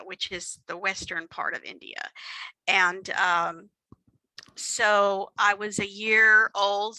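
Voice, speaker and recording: A woman with a Texan accent presenting a workshop in an easy, chatty way, with lots of fillers and self-deprecating asides. Her voice is very bright and forward, recorded close and dry with no room sound. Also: medium pitch, no room sound, poor audio. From Texas. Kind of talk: conversational lecture with slides.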